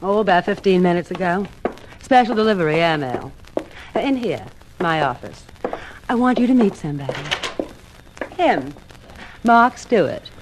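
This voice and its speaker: An American actress playing a scheming, greedy blackmailer with a sugary, menacing manner in a 1950s radio drama. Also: female voice, fairly low pitch, lively intonation, moderate pace, pauses often, close to an everyday voice. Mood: smooth. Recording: old radio recording, faint noise.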